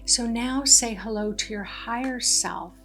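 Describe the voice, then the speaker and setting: A woman leading a guided meditation in a gentle, deliberate way, pausing between short phrases. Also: a little high. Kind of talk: guided meditation, soothing narration. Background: background music.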